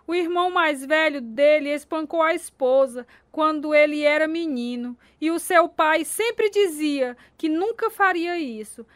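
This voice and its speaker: A woman's voice: sits high, unhurried, hardly any pauses, no room sound. A woman playing a battered, long-suffering mother in a radio drama, emotional and pleading. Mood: sad, resigned. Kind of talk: radio drama dub acting.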